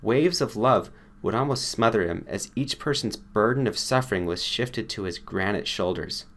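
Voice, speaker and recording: A man's voice: on the low side, lively intonation, normal speed, fairly bright tone. A man reading a scripted story as an audiobook narrator. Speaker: audiobook reader.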